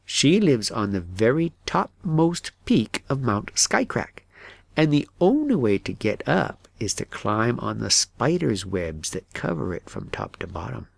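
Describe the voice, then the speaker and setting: A man's voice: medium pitch, big pitch swings, a little slow, creaky, breathy edge, no room sound, exaggerated voice. A man voicing a motherly, modest lady lark in a storybook, easily a little offended. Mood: confiding. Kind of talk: storybook character voice.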